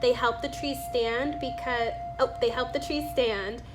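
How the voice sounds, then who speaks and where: Slow-paced woman reading a picture book aloud. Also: a little high, steady tone, music in the background. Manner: pauses to remark on the illustrations. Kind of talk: read-aloud with spontaneous comments on pictures.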